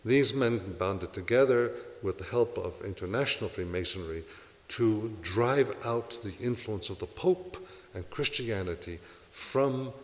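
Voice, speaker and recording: Earnest low-voiced man preaching. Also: big-room sound. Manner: earnest, exhorting, asks rhetorical questions. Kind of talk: sermon to a congregation.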